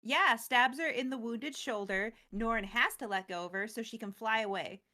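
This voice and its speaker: High-voiced woman casually recapping a story. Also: moderate pace. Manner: expressive, slangy, acts out quotes playfully. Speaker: co-host of a story recap show. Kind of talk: casual, jokey story retelling and chat.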